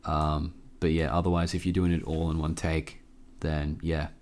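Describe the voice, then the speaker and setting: A man hosting a casual software tutorial, informal and a bit hesitant as he thinks aloud. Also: extremely low pitch, little pitch movement, normal speed, very noisy. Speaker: tutorial host.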